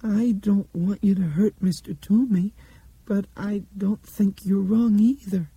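A man voicing a gentle, frightened little girl with a small, wavery voice in an audiobook. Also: high voice, steady tone, unhurried, breathy edge, dry recording, highs cut off, voice pushed up, full character voice. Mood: timid, earnest.

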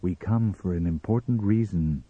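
A man voicing a cold, composed Martian for an audiobook story, speaking in a measured, formal manner. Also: sits low, breathy edge, dry recording, phone-quality sound. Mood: calm, grave. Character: calm, cold Martian in blue glass mask. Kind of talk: dramatised audiobook character reading.

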